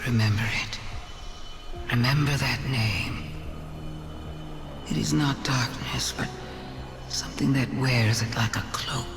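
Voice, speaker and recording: An actress playing a proud, cunning alien witch queen who delivers a game monologue in an ominous, grandiose way, hushed in places. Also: extremely low pitch, slow pace, pauses often, heavily acted. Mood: ominous, secretive. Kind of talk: theatrical game cutscene monologue. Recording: roomy echo, music underneath.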